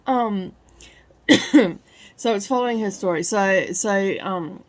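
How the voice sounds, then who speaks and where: A woman with a croaky voice from crying, talking softly at home in a casual book review vlog. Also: medium pitch, slow pace. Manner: hushed, soft-spoken so as not to wake others. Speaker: book vlogger. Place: at home, early morning.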